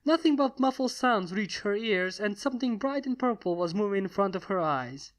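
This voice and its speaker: A woman's voice: mid-range voice, expressive, normal speed. Woman narrating a fantasy story. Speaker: fan fiction reader.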